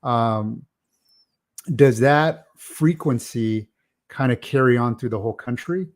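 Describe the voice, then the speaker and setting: A man with a mid-pitched voice hosts a casual interview. He asks long, rambling questions and keeps affirming the guest with frequent yeahs and okays. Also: slow pace. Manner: affirming, lots of "yeah" and "okay", rambling questions. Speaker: podcast host.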